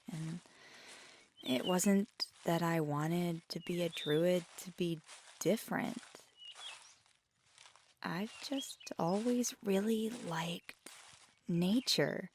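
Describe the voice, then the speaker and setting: A feisty, affectionate girlfriend played by a woman in an ASMR roleplay, speaking very slowly in a lowish voice. She is teasing and soft-spoken, halting now and then and dipping into whispers, with faint noise behind her. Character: feisty, affectionate magical girlfriend who loves nature.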